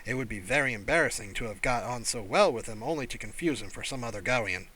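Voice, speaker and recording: A man narrates scripted fiction as an audiobook reader. His pitch sits in the middle for a male voice. The tone is bright and forward. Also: expressive, fast talker, poor audio.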